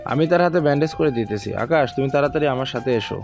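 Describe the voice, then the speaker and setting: A man's voice: mid-range voice, animated, quick pace, vocal fry, darkish tone. A man playing a concerned, reproachful character who protests and pleads in an audio story. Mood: worried, pleading. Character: concerned, protesting men: boss's father and office manager. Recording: music under the voice.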